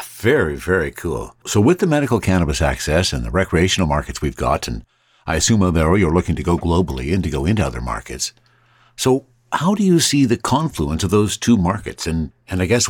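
Deep husky-voiced Canadian host. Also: male voice, dry recording. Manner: friendly, curious questioning. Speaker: podcast host. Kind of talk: casual interview.

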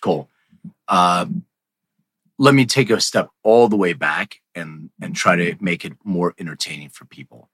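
A man's voice: low voice, measured pace, fairly bright tone, breathy edge, no room sound. A man hosting a podcast in a casual, rambling conversational interview style. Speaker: podcast host.

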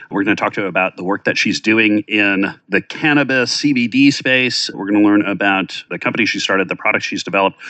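A man's voice: fairly low pitch, bright, forceful tone, no echo. A man hosting a business interview podcast, talking loosely and conversationally with a few restarts. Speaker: podcast host, business coach.

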